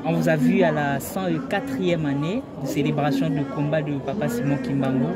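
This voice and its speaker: A man's voice: a little high, fairly even intonation, fairly quick. A man conducting an on-site interview with formal, polite questions. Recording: loud crowd behind the voice. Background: busy crowd at a celebration.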